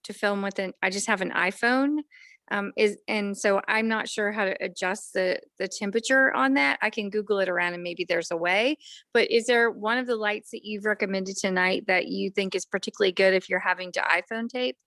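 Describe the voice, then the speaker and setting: A woman's voice: medium pitch, fairly quick, fairly bright tone, no echo. A woman attending an online workshop, speaking politely and a little hesitantly as she asks an informal question. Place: online video call.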